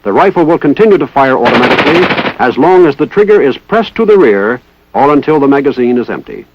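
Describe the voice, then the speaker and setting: A man's voice: mid-range voice, very animated, quick pace, background noise. A man with an American accent reading formal, methodical instructional narration in the style of a 1960s military training film. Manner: formal, precise, methodical enunciation. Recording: dull lo-fi 1960s film soundtrack.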